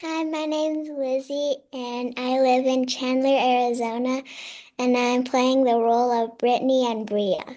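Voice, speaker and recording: Young-sounding register not required: female, very high pitch, flat intonation, simple introduction. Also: unhurried, dry recording. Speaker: actor at a table read. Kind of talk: casual self-introduction. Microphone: video call microphone.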